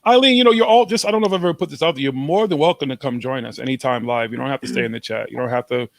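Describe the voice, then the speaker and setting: A man's voice: medium pitch, racing, vocal fry, no echo. A man, hosting a livestream chat and swearing freely as he talks. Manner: swears freely, loose rambling talk while smoking. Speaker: livestream host. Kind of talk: casual chat.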